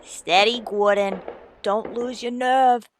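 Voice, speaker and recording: A woman's voice: a little high, steady tone, slow, slight background noise. A woman playing a grave, steadying prison warden in a radio drama. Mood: grave, regretful. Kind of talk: old-time radio drama acting.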